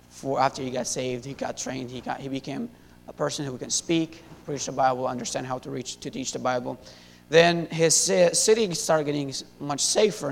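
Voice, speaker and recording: A young man in his twenties from Syria, giving a halting church testimony full of fillers and restarts into a handheld mic. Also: gravelly. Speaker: missionary. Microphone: handheld wireless mic. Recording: echoey hall, faint rumble.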